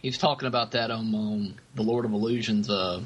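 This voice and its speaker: A man co-hosting a podcast in casual talk, halting and repeating words as he goes. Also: lowish voice, husky, highs cut off. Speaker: podcast co-host. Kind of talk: casual discussion.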